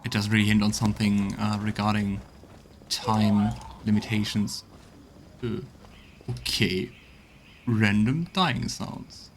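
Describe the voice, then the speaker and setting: A man's voice: lowish voice, fairly even intonation, slow pace, pauses often, a little hiss or hum. A man giving a casual let's play commentary, thinking aloud as he goes. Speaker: game streamer.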